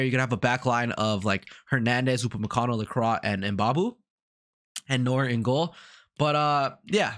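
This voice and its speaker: A man with a congested, sick-sounding voice, rambling casually through video game commentary with lots of fillers and repetition. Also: mid-range voice. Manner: rambling, repeats himself, many fillers. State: congested from a cold. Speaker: gaming YouTuber.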